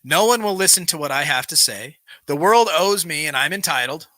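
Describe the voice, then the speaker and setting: Bright, forceful man hosting a recovery show. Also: on the high side, animated, brisk, no room sound. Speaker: host of a live recovery show. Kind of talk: reading aloud from a self-help text with casual asides.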